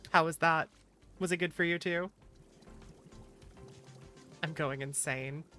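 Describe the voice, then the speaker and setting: A woman streamer talks casually to her chat in a slightly low voice. Her pace is very leisurely, and her manner is playful and teasing. Faint music plays behind her. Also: steady tone, slow, sharp tone. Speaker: streamer, virtual YouTuber. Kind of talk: casual livestream chat with viewers. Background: background music.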